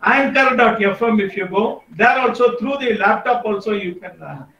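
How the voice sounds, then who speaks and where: A man with an Indian English accent gives an online workshop talk, halting with many fillers and restarts. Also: high-pitched, a bit bright, muffled recording. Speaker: guest speaker on podcasting. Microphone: video call. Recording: echoey, far from the mic.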